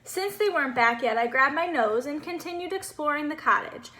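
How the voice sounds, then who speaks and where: Female reader as naive, cheerful boy snowman for a storybook: high-pitched, expressive, bubbly, innocent. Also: a little fast, slight background noise. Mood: chatty, innocent. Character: cheerful, naive little male snowman telling his story.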